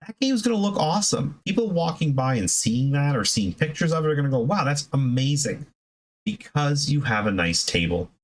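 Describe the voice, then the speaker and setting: A man's voice: fairly high pitch, big pitch swings, moderate pace, low-quality recording. A man giving casual, unscripted hobby advice as a video host, in a conversational, emphatic way that rambles into asides.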